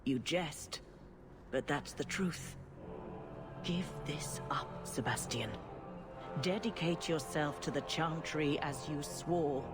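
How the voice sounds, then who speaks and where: Low, stern commanding lady. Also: slow pace, long pauses, music in the background. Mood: grave urging. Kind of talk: fantasy video game acting.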